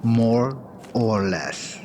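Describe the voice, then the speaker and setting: Slow, menacing wrestling rival. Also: male voice, mid-range voice, animated, warm tone, soft background music. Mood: cold menace. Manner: slow, deliberate threats. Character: menacing Japanese wrestling star, cold and threatening. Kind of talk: video game cutscene acting. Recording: clearly noisy ambient background.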